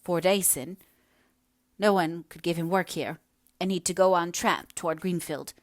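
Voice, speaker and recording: A woman's voice: lowish voice, normal speed, no echo, clean studio sound, lightly acted. A woman voicing a sickly, bitter poor mother with complaining, emphatic dialect speech, in a storybook reading. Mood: querulous.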